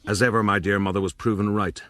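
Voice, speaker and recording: An actor playing a proud, roguish gentleman who narrates his own story in a florid, boastful, rueful way for a period radio drama. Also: lowish voice, little pitch movement, fast talker, no room sound, close to an everyday voice. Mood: measured recounting.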